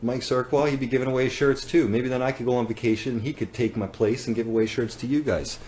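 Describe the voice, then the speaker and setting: A man's voice: mid-range voice, fairly even intonation, fast, darkish tone, slight background noise. A man with a Canadian accent, rambling and joking as he hosts a live giveaway. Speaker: business owner hosting a live giveaway. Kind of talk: casual livestream chat.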